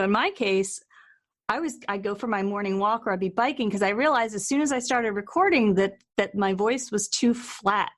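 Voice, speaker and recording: A woman speaks as the host of a relaxed interview. Her voice sits at an average pitch, and she talks in an informal, conversational style.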